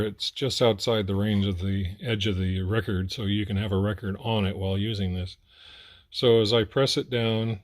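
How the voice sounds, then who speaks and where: Man casually demonstrating an old gadget. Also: fairly low pitch. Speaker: record collector demonstrating a portable player. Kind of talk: casual hands-on demonstration talk.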